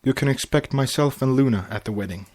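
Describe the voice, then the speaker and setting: A man voicing a gracious, affectionate regal female pony mentor in a story, warm and formal. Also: medium pitch, fast talker, close to an everyday voice.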